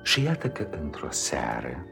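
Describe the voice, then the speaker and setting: An actor playing a reflective author narrating remembered childhood in a solemn, wistful 1950s Romanian radio play style. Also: male voice, rumbling low, wildly swooping pitch, vocal fry, exaggerated voice. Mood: storytelling. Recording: 1950s radio recording, loud music behind.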